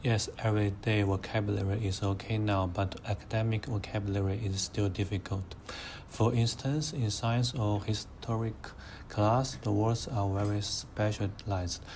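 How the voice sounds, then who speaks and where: A young man of eighteen with a Mandarin Chinese accent, his voice sitting a little low. He answers in a measured, hesitant way, pausing on fillers. Faint background noise runs under the recording. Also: a little mellow. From China. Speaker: interviewee, high school student. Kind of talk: careful answering in interview.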